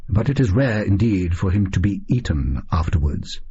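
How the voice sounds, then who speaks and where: A man voicing a conceited, theatrical Victorian detective in an audiobook, with smug, formal, deliberate diction. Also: sits low, sing-song, dark tone, husky, highs cut off, voice pushed down, heavily acted. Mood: grave, pompous.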